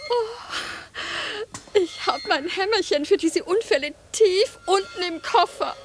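A woman's voice: extremely high pitch, expressive, slow, heavily acted. An actress playing a refined, teasing, self-possessed lady in a German radio play, speaking in a playful, genteel way.

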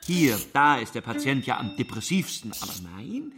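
Awkward, rambling psychiatrist, lively pitch. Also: male voice, moderate pace. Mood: didactic. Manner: hesitant, stammering, didactic. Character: awkward, rambling male psychiatrist explaining to a patient. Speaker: actor in a radio drama. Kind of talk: radio drama acting.

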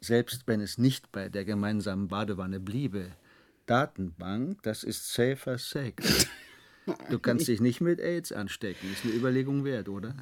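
An actor playing a cheeky, unrepentant programmer who justifies himself breezily in a German radio drama. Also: male voice, fairly low pitch, a little slow. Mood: defensive, breezy. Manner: breezy, exclaiming, self-justifying. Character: cheeky, defensive male programmer, playful and unrepentant.

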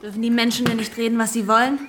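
Actress as outspoken teen girl, argues back, highish voice, radio drama. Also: level tone, racing, background noise, natural voice. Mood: defiant, questioning. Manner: pert, probing, argumentative. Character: outspoken, defiant teenage girl from next door.

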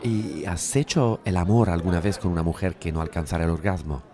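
A man's voice: low-pitched, brisk, crowd noise. An actor from playing an unsure, curious man who tentatively confides private worries to a friend. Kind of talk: Spanish audio fiction dialogue.

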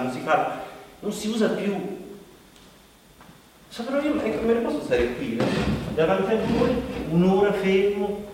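Desperate, high-pitched man acted in echoing hall. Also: natural voice. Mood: pleading. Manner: hesitant, repetitive, pleading. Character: desperate, self-conscious man confessing helplessness to an audience. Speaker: stage actor. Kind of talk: confessional theatrical monologue. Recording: distant echoey hall, faint noise.

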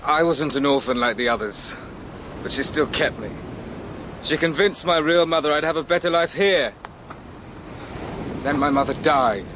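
An actor playing a bitter, cynical adopted son in a radio drama, terse and sardonic. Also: male voice, a little high, normal speed, pauses often, background noise, natural voice. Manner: terse, dry.

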